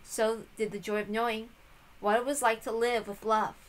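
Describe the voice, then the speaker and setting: A woman's voice: fairly high pitch, little pitch movement, normal speed, rough recording. A woman reading a visual novel aloud as scripted narration.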